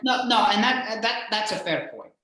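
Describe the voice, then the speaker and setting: Heavy room echo surrounds a man hosting a casual interview. His voice has a bright edge, and he speaks agreeably in halting bursts, repeating words as he forms questions. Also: high voice, animated. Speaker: host, interviewer. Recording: very echoey, reverberant room.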